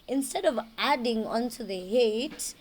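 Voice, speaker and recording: A woman's voice: fairly high pitch, a little slow. A young woman with a Kenyan accent, co-hosting a casual chat and switching between languages. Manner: code-switching, animated. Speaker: podcast co-host.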